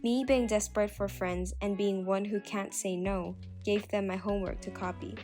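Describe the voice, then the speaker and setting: A woman reads submitted stories in a plain, even voice. Her pitch is middling, with faint music underneath. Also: moderate pace. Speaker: narrator reading submitted stories. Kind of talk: scripted reading.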